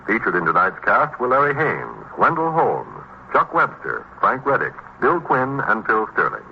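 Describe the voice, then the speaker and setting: A man's voice: on the low side, huge pitch swings, normal speed, vocal fry. An American man announcing in a scripted 1950s radio style. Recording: dull, hissy vintage radio recording.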